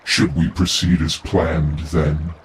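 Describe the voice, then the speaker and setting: An actor playing a scheming villain in a video game, speaking in a deliberate, theatrical, formal way. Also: male voice, rumbling low, level tone, slight background noise. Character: deep-voiced, scheming male villain, deferring conspirator.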